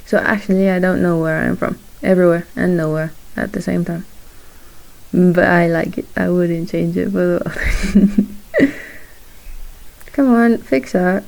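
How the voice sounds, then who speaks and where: A shy young woman who grew up in Morocco chats casually while drawing at home, halting often and laughing between thoughts. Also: lowish voice, gravelly, a little mellow, low-quality recording. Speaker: vlogger, aspiring character designer.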